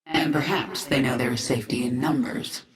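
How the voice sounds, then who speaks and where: A woman's voice: rumbling low, fairly even intonation, normal speed, reverberant, rough recording. A woman playing a determined, commanding alien warrior queen in a video game, speaking in terse lines. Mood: reflective. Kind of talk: video game cutscene acting.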